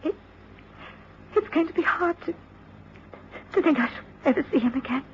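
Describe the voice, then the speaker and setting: An actress playing a well-spoken, composed young English daughter in mourning, in a radio drama. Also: female voice, high-pitched, moderate pace, lightly acted. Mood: grieving, restrained. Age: young adult. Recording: dull, faintly noisy old radio recording.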